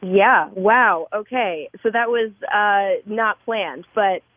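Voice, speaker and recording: A woman, a singer-songwriter guest, chatting in a warm, effusive and openly emotional way. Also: mid-range voice, slow pace, no echo. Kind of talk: casual interview chat. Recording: tinny phone-like remote line.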